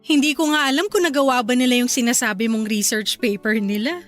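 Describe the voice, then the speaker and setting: An actress playing a gentle, caring young newlywed wife in a radio drama, speaking softly and affectionately. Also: female voice, on the high side, steady tone, a little fast, soft background music, lightly acted. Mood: mildly bothered. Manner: soft, affectionate and considerate.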